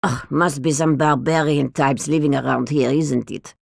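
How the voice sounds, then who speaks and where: A woman's voice: low voice, fairly even intonation, normal speed, creaky, no room sound, clean studio sound, voice pushed down. An actress voicing an eccentric, grand old woman who speaks in broken, foreign-sounding grammar with a haughty, regal air. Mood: indignant. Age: older. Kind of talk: audiobook character voice.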